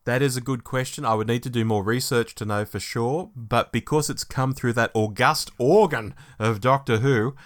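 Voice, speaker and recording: Male co-host for casual fan podcast, wry, informal, middling pitch. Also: moderate pace, hardly any pauses. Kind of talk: casual discussion of Doctor Who fandom news.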